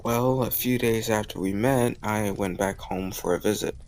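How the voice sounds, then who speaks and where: A man acting a warm, teasing young suitor in a romantic audio roleplay, talking casually and intimately to the listener. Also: lowish voice, normal speed, a little hiss or hum, close to an everyday voice. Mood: casual, amused. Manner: casual, gently teasing, conversational with soft chuckles.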